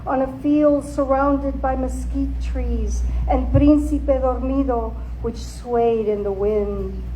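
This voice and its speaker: Slow, high-voiced woman storyteller. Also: low-quality recording. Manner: deliberate, expressive, suspenseful. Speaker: storyteller reading a book aloud. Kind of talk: dramatic scripted narration. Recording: faint background noise.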